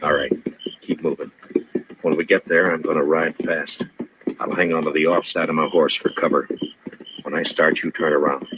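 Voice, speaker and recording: An American actor playing a calm, watchful frontier marshal in an old-time radio western, speaking in a measured, probing way. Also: male voice, very deep voice. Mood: tense, commanding. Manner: measured, probing, gently persuasive. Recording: muffled, noisy old radio recording.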